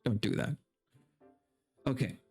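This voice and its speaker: A man's voice: takes it slowly, warm tone, soft background music. A man doing a dramatic story reading for a stream, mock-serious and breaking into incredulous, sweary commentary.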